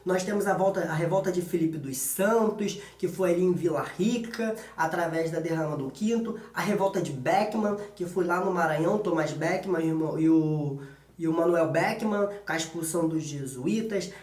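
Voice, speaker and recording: A man's voice: fairly high pitch, moderate pace, darkish tone. A man with a Brazilian accent giving an informal history exam-prep lesson as a teacher, colloquial and often checking in with short tag questions. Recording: echoey room.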